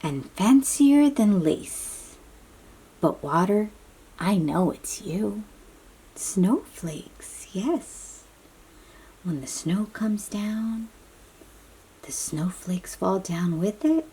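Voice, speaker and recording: A woman with a soft, breathy voice reads a picture book aloud to young children, gently explaining and chatting to them as she goes. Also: mid-range voice, animated, rough recording. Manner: slow, child-directed, encouraging questions and affirmations. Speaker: read-aloud storybook host.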